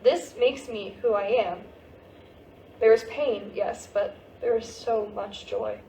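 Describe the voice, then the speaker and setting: A woman's voice: medium pitch, takes it slowly, warm tone, lightly acted. Woman acting a heartfelt teenage girl, echoey stage. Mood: tender, resolved. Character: half-Japanese American teenage girl, grieving, searching for her identity. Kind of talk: staged play reading, emotional monologue. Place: theatre stage at a staged reading. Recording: echoey stage recording with faint noise.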